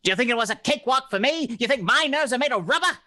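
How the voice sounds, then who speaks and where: A woman's voice: fairly high pitch, lively intonation, fast, pushed and bright, no room sound, clean studio sound, voice pushed up. A woman playing a tough, cold male private detective in 1940s radio drama style, bossy and hard-boiled. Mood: hard, irritated.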